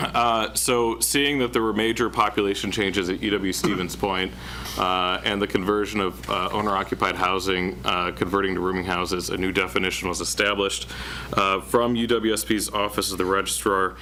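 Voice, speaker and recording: A man presenting as a city planning director at a public meeting, in a self-described monotone with many uh fillers. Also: deep voice, moderate pace, a little hiss or hum. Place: council chamber.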